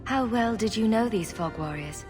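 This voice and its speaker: A woman's voice: a little high, lively intonation, normal speed, natural voice. Woman acting an earnest fantasy heroine, echoey. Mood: gentle, curious. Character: caring, steady female mage champion, fantasy game heroine. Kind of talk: dramatic video game dialogue. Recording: reverberant sound with faint music.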